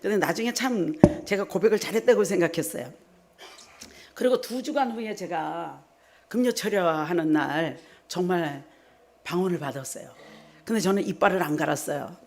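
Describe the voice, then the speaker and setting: A middle-aged woman from South Korea, a preacher giving a personal testimony at a revival meeting in an animated storytelling manner. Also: fairly low pitch, normal speed, a little hiss or hum. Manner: animated storytelling, re-enacts her own prayers in direct speech.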